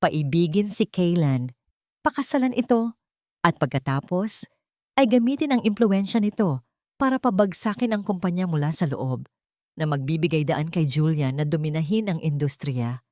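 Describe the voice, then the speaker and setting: A woman's voice: low-pitched, lively intonation, moderate pace, a little mellow, no room sound, clean studio sound. A woman narrating a scripted dramatic audio story.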